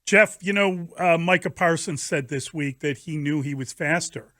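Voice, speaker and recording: A man's voice: a little high, normal speed, pushed and bright, no echo, clean studio sound. A man hosting a sports radio show, talking through football in a conversational style.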